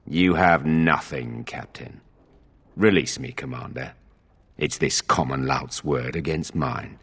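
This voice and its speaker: A man's voice: rumbling low, slow pace, fairly bright tone, breathy edge, full character voice. An actor playing an arrogant, sneering nobleman in a video game, sly and drawling, in a reverberant hall. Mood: contemptuous. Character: haughty, sneering male noble accused of murder.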